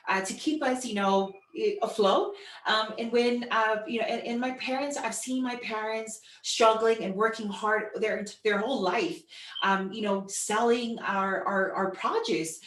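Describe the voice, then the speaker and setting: A woman from Samoa giving an informal online business presentation with personal storytelling, in a halting, repetitive way full of "you know". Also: medium pitch, a bit bright. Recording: echoey room, distant microphone.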